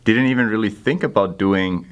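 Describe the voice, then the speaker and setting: A man's voice: on the low side, moderate pace, clear, resonant tone. A young man from Finland with a Finnish accent, a native Finnish speaker, answering interview questions casually with dry, self-deprecating humor. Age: young adult. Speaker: guest intern.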